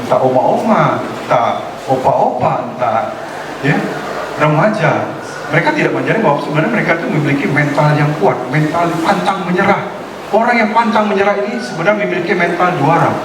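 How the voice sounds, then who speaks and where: Lively preaching man in an echoey church. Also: on the high side, fairly quick. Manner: lively preaching, acting out quoted voices, asks congregation questions. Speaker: pastor. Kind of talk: sermon. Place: church hall. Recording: echoey hall, distant mic, noise.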